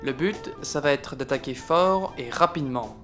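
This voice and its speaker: A man's voice: mid-range voice, moderate pace, fairly bright tone, faint music behind, close to an everyday voice. A man acting an irascible, self-important French army captain in a comic audio drama, sarcastic, bossy and coarse. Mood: instructing.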